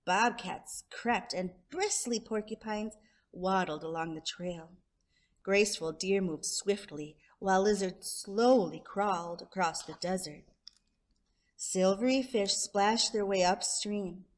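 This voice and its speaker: A woman storyteller reading a children's picture book aloud expressively, drawing out descriptive words. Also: mid-range voice. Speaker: storyteller, show host.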